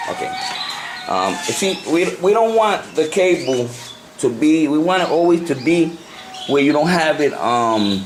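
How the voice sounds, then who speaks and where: A man with a Puerto Rican accent and a mid-pitched voice walks through a hands-on demonstration. He speaks casually and haltingly, often repeating or correcting himself. The amateur recording has clear background noise. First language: Spanish. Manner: halting, repetitive, self-correcting. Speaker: amateur radio hobbyist giving a tutorial. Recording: noisy amateur camcorder sound.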